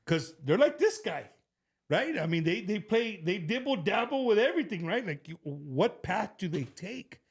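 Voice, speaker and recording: Texan host guy, kinda high voice, loose and animated, lots of restarts and "bro". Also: moderate pace, gravelly, breathy edge. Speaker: show host. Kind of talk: casual chat with a guest.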